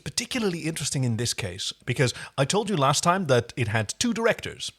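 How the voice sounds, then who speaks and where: A man's voice: fairly high pitch, sing-song, fast talker, a bit bright, slightly rough. A man co-hosting a podcast in casual, enthusiastic, engaged conversation about a television series. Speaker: podcast co-host.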